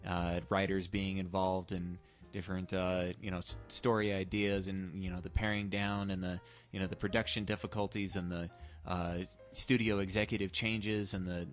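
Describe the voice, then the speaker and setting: A man's voice: low-pitched, little pitch movement, moderate pace, soft background music. A man chatting casually about films as a podcast co-host, in a rambling way peppered with filler phrases. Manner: rambling, hedging with "you know". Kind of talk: casual film discussion chat.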